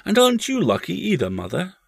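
A man's voice: sits high, wildly swooping pitch, fast, gravelly, husky, no room sound, studio quality, pitched above the performer's own voice, exaggerated voice. A man voicing a timid, earnest young boy who asks wondering, insistent questions in a storybook reading. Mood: insistent, questioning.

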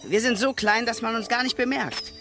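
A woman's voice: a little high, normal speed, lightly acted. A woman voicing a curious, brave young male troll in a children's radio play, wondering and eager. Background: music.

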